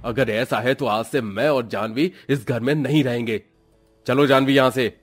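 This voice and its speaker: A man's voice: medium pitch, steady tone, moderate pace, soft background music, close to an everyday voice. A man voicing an indignant, confrontational husband defending his wife in a moral cartoon story. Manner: stern, confrontational.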